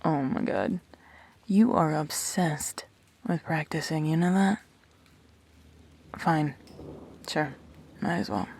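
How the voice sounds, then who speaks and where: A woman's voice: takes it slowly, long pauses, darkish tone, exaggerated voice. A woman acting a wry, blunt but protective immortal best friend in an audio drama, with a dry delivery. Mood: casual, amused. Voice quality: low, husky.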